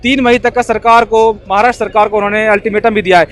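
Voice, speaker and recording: A man's voice: high-pitched, fairly even intonation, fast talker, a bit bright. A man working as a street news reporter, putting questions to passers-by. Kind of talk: vox pop interviewing on a current issue. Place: outdoors on a city street. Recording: outdoor street with traffic behind.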